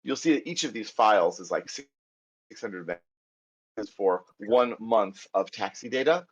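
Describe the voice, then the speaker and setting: Husky American man teaching code. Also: on the low side, expressive, a little slow, muffled recording. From United States. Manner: chatty, self-deprecating, thinks aloud while typing. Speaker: programming instructor. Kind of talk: live coding lecture.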